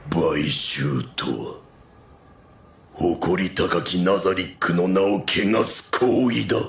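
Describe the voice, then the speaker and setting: A man voicing a stern, proud monstrous warrior in an anime audio drama, gruff and stiffly formal, his voice echoing. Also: low voice, slow, slight background noise, heavily acted. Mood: stern, indignant. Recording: echoing, reverberant voice with faint music.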